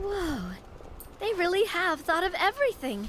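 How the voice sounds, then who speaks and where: An actress playing a playful young woman in a game, bright and teasing. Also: extremely high pitch, very animated, normal speed, a little hiss or hum, heavily acted. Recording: game audio under music.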